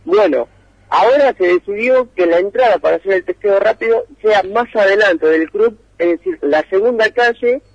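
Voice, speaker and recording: A man, speaking as a radio reporter over the phone. His voice sits slightly high for a man and is dry and close. He rambles through the details, stopping on frequent fillers. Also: moderate pace, no room sound, highs cut off. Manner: meandering, hesitant with many fillers.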